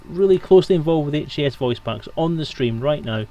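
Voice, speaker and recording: A man's voice: fairly high pitch, lively intonation, a little fast, dark tone, lo-fi sound. A man hosting a casual livestream interview in a dry, understated manner. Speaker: stream host.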